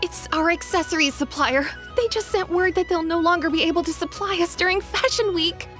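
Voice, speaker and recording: A woman's voice: very high voice, fairly quick, fairly bright tone, faint music behind. An actress voicing an anxious, obedient young female shop assistant in a video game.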